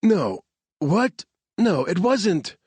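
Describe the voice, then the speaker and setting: A man voicing a young female hacker who is out of breath and wry, his voice pushed high. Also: very animated, extremely slow, darkish tone, husky, no room sound, heavily acted. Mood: breathless.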